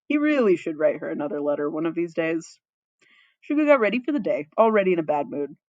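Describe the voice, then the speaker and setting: A woman reads a story aloud with playful drama and very lively intonation. The recording is dry and a little dull, like a modest home setup. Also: low voice, quick pace, dry recording. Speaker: fan fiction reader. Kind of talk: expressive story narration with playful asides.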